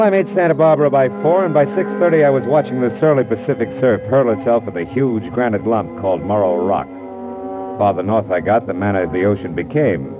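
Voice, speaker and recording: A skeptical gumshoe acted by a man with a low voice and a wry, deadpan delivery. He talks like a classic 1940s radio noir hero, quick with a wisecrack. The old recording is boxy and phone-like. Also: natural voice. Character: hard-boiled male private detective, wry and skeptical. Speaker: detective and narrator. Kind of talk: 1940s radio acting with first-person noir narration.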